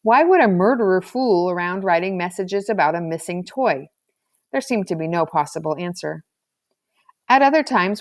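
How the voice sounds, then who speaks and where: A woman reading a children's novel aloud as scripted narration, in an expressive storybook manner. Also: fairly low pitch. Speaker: audiobook reader.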